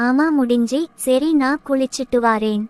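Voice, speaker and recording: A woman voicing a modest, polite elder daughter-in-law in an animated family short. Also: high-pitched, moderate pace, smooth, no echo, lightly acted. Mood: obedient. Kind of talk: animated moral short voice acting.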